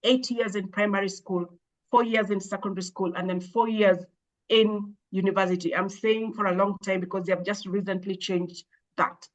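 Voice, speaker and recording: A woman, an education expert, answering interview questions in a measured, thoughtful way with frequent hesitations and restarts. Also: mid-range voice, fairly even intonation, low-quality recording. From Kenya. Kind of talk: explaining in an interview.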